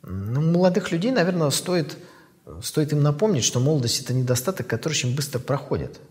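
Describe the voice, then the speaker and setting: A man in his fifties, an author, giving thoughtful interview answers in a measured way with pauses and fillers. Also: a little high, animated, a little mellow, long reverb tail. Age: 50s.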